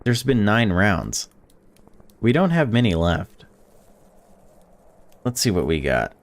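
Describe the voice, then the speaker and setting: A young man with a deep, low voice reads a horror visual novel aloud and reacts as he plays. His pitch moves a lot between calm reading and sudden loud outbursts. He swears freely while he talks to the game. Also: takes it slowly, no echo. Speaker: gaming YouTuber. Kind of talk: let's play commentary and reading aloud.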